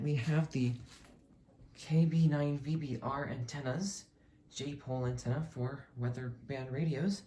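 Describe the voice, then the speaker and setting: Mellow, casual hobbyist giving a show-and-tell. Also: mid-range voice, a little hiss or hum. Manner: matter-of-fact, detailed explaining. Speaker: hobbyist video host.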